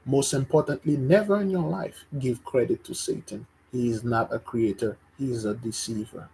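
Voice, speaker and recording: A man's voice: mid-range voice, normal speed, dark tone, slight background noise, poor audio. A man from Haiti, preaching informally online to his brethren, emphatic and repeating himself, often stumbling and restarting. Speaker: religious teacher.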